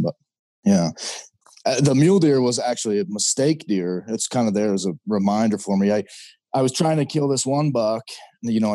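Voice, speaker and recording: A man speaking as a podcast guest and hunting guide, telling stories in a relaxed, easygoing way. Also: fairly low pitch, no echo, rough recording. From Idaho, US. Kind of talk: casual interview talk.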